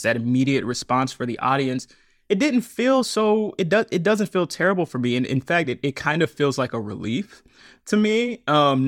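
A man who is a stage storyteller, talking casually in an interview, animated and often laughing as he acts out his own remarks. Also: medium pitch, no echo, studio quality. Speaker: storyteller and podcaster, guest.

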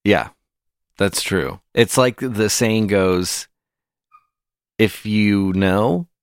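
A man's voice: on the low side, drawn-out words, clear, resonant tone, dry recording. A man co-hosting a podcast, trading dry jokes in casual banter. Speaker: podcast co-host.

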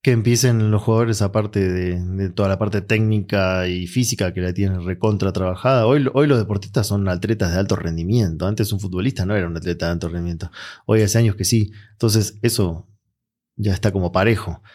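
Deep, mellow Argentine man. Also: brisk. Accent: Argentine Spanish. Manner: relaxed, reflective, with frequent fillers. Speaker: guest, mental coach. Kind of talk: interview answers.

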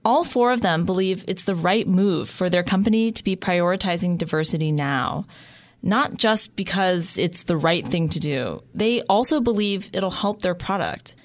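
A woman's voice: fairly low pitch, expressive, normal speed. A woman co-hosting a podcast, reading scripted narration with a wry, conversational delivery. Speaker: podcast co-host.

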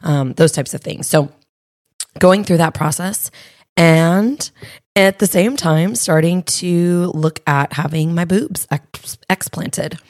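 A woman in her thirties with a raspy voice, chatting candidly as a podcast co-host and health coach, with plenty of fillers. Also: on the low side, takes it slowly, darkish tone, dry recording. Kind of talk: casual podcast chat.

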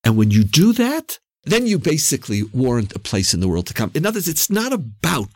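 A man's voice: on the high side, huge pitch swings, a little fast, a little mellow, no room sound. A Canadian-accented man speaking as an interview guest, reflecting on and explaining ideas emphatically, with repeated phrases for effect. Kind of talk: reflective, explanatory conversation on religion.

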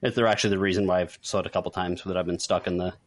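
A man's voice: deep voice, fairly even intonation, very fast. A man co-hosting a podcast, talking in a casual, informal reviewing style. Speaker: podcast co-host.

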